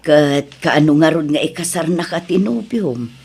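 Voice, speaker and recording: A woman playing a modest, plain-spoken village woman in an audio drama, slightly husky, with an Ilocano accent. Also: sits low, measured pace, darkish tone, full character voice. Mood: chiding.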